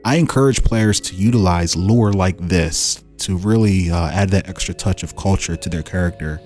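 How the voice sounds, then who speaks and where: A man's voice: low voice, normal speed, darkish tone. A man hosting in a casual style, reading game lore aloud and breaking off into musing, informal asides. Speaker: host presenting fan-made game lore. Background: music.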